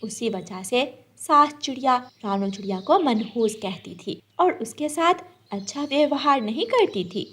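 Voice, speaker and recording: A woman's voice: a little high, expressive, unhurried, hardly any pauses, a bit bright, breathy edge. A woman narrator reading a scripted moral story for a children's cartoon.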